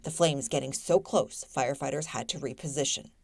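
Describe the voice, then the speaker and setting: A woman's voice: extremely low pitch, a little fast, gravelly, dry recording, studio quality. A woman voicing a scripted television news report.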